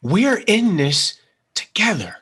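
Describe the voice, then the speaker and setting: A man's voice: high voice, big pitch swings, slow pace, a bit bright, breathy edge, full character voice. A man playing a desperate, insistent young schemer in a screenplay table read, pleading with feeling. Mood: pleading, urgent.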